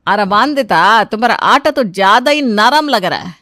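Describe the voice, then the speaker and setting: A woman's voice: mid-range voice, lively intonation, moderate pace, fairly bright tone, dry recording, studio quality, natural voice. A woman voicing a bossy, dramatic South Indian mother-in-law in a Hindi cartoon story, exclamatory and scolding, with a South Indian accent. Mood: fussy instructing. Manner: exclamatory, scolding, ends phrases with a tag.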